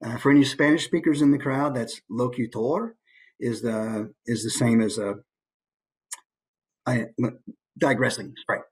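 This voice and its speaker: A man's voice: mid-range voice, steady tone, a little slow, long pauses, slightly rough. A man giving an informal instructional talk as a voice actor and coach. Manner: demonstrates points with brief put-on voices.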